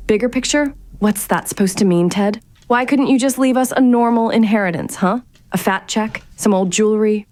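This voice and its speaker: A woman's voice: mid-range voice, a little mellow, no room sound, lightly acted. An actress playing a sardonic, curious young woman in a fiction podcast drama, thinking aloud to herself in a naturalistic, exasperated way. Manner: wry, sarcastic, exasperated muttering to herself. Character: sardonic, curious young woman investigating her late relative's mysterious house. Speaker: lead actor in an audio drama.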